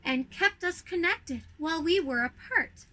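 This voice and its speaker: Lady doing a sweet earnest young princess from a storybook, high and really bright, expressive. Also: measured pace, exaggerated voice, poor audio. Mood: tender. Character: young princess, the queen's affectionate, earnest sister.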